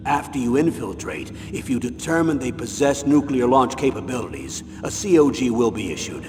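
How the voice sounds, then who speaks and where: A man voicing an older retired army colonel in a video game, an earnest, guarded commander with a measured, formal military delivery. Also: medium pitch, animated, noisy background, lightly acted. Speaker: mission commander briefing an operative. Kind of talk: video game voice acting, dramatic briefing. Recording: long echoing reverb, loud music behind.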